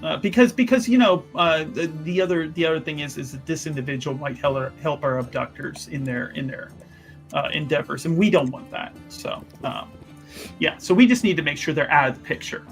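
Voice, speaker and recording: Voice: medium pitch, fairly quick, faint music behind. A person improvising in character at a tabletop game, hesitant and wheedling, often repeating phrases. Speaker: tabletop roleplaying performer.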